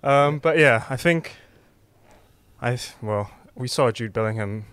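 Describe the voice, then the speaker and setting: A man giving football opinions as a show guest, relaxed and thinking aloud with frequent ums. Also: mid-range voice, measured pace, clear, resonant tone, no room sound. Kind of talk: casual football chat.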